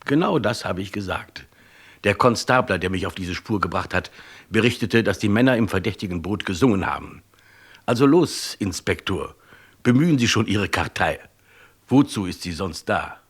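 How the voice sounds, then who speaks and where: Low, husky police chief. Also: male voice, animated, normal speed, heavily acted. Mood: decisive, commanding. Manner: clipped, commanding questioning. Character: authoritative male Scotland Yard chief, brisk and commanding. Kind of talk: German radio crime drama acting.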